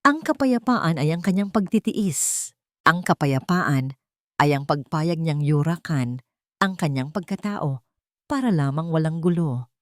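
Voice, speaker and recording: A woman's voice: low voice, expressive, unhurried, dry recording, studio quality. A woman reading a scripted dramatic story as its storyteller.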